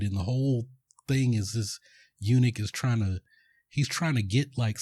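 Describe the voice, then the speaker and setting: A man's voice: mid-range voice, warm tone, dry recording, clean studio sound. A man hosting a podcast, casually retelling a film plot in a rambling way with lots of fillers and restarts. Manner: rambling, filler-heavy storytelling. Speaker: podcast host.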